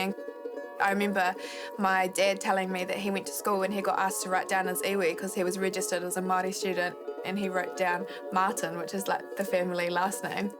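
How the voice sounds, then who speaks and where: A young woman in her twenties with a New Zealand accent, telling her own story in a candid, conversational, reflective way. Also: mid-range voice. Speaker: documentary subject, poet and musician. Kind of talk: reflective personal interview narration. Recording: loud music under the voice. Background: loud music bed.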